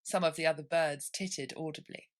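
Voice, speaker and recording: A woman reading a classic children's story aloud in an expressive, scripted narration style. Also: deep voice, brisk. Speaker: storybook reader.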